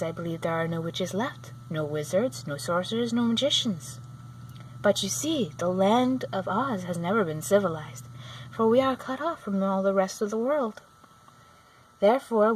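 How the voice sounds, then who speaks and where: A woman voicing a kindly, solemn little old good witch in a storybook, explaining things gently. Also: mid-range voice, lively intonation, normal speed, far from the mic, slight background noise, natural voice, low-quality recording. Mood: explanatory.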